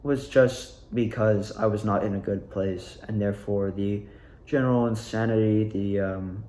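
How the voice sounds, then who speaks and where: A man's voice: fairly low pitch, fairly even intonation, a little slow, mellow. Young man venting in an echoey room. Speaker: student actor. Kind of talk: casual rambling personal recap. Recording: roomy, echoing room sound.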